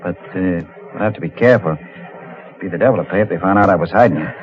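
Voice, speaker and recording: A man's voice: low-pitched, fast, natural voice. An actor playing a guilty, ruthless ship's crewman in a radio thriller, guarded and coldly menacing. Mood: uneasy. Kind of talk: vintage radio thriller acting. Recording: muffled, noisy old radio drama recording.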